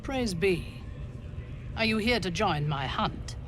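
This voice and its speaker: A woman playing a cold, cruel dark-elf zealot commander in a video game, imperious, measured and menacing. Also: mid-range voice, lively intonation, background noise. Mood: fervent. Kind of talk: video game character acting.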